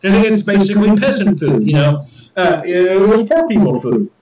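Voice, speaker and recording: A man's voice: high-pitched, sing-song, moderate pace, reverberant, distant mic, a crowd in the background, low-quality recording. A man hosting a casual chat show, rambling with many repeated words and restarts. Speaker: podcast host reviewing beer.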